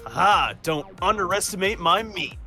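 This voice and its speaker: A goofy young-at-heart hero played by a man with a very animated voice. He is casual and good-natured in this audio drama, with music clearly behind him. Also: sits high, normal speed, full character voice. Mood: boastful. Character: goofy, good-natured male hero who wields meat.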